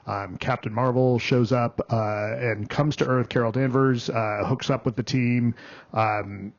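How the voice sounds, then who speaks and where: A man talks through a film in casual podcast chat, stopping and restarting often with ums. His voice sits at an ordinary pitch. The recording is a bit dull and lo-fi. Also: fairly even intonation. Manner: halting, many ums and restarts. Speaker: film critic, podcast co-host.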